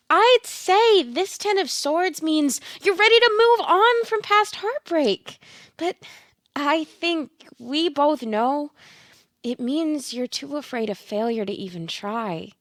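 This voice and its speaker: A woman's voice: high-pitched, lively intonation, slow, a bit bright, dry recording, studio quality, exaggerated voice. A woman playing a blunt, slyly coaxing tarot reader in an audio play, with a theatrical manner and pet names for her client. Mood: pointed, huffy. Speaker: actor.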